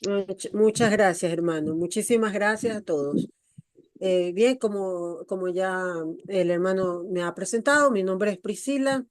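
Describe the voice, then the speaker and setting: Middle-aged woman, Ecuadorian accent, slow and halting testimony delivery, dry sound. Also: mid-range voice, no echo. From Guayaquil, Ecuador. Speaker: guest speaker giving testimony. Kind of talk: personal religious testimony. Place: online meeting.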